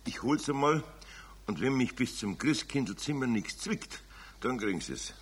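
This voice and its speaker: A man's voice: medium pitch, lively intonation, unhurried, natural voice. A man playing a kindly, patient older craftsman with a Bavarian accent and a homely, hesitant manner in a 1970s children's radio play. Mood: hesitant, worried.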